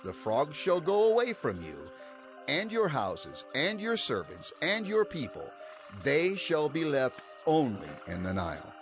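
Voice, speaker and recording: A man's voice: a little high, wildly swooping pitch, a little slow, faint music behind, exaggerated voice. A man playing an earnest prophet in a dramatized Bible reading, emphatic and declamatory. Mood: earnest, firm. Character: Moses, earnest prophet pleading before a king.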